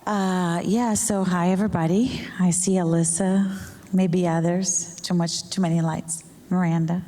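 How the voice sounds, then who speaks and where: A woman's voice: lowish voice, steady tone, measured pace, warm tone. A middle-aged woman from Brazil, a native Portuguese speaker, talking informally to an audience as a professor on a panel, searching for words at times. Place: large hall with an audience. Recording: echoey hall with long reverb.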